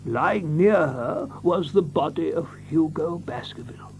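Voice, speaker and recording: Flustered, high-pitched, stammering country doctor. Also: male voice, expressive, measured pace, vocal fry, a little mellow, soft background music. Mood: dramatic storytelling. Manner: stammering, dithering, repeats himself. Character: flustered, fussy, excitable English country doctor with a tale. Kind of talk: theatrical radio drama acting.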